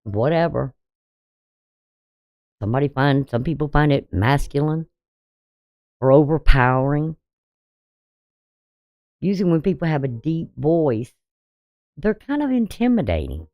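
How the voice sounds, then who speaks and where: A woman with a deep voice giving a casual daily astrology reading, talking bluntly and conversationally with frequent "you know". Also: very animated, unhurried, pauses often, dry recording, muffled recording. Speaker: astrology channel host.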